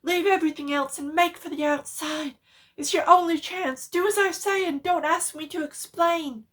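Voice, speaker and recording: Woman acting a terrified man, frantic and high-pitched. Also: fairly even intonation, normal speed, fairly bright tone. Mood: frantic urgency. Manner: frantic, stammering. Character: terrified man trapped underground, calling up in panic. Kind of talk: dramatic horror reading.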